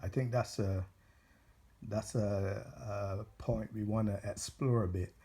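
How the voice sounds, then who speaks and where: A man from Barbados, interviewing informally in halting, deliberate phrases. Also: fairly low pitch, fairly even intonation, warm tone.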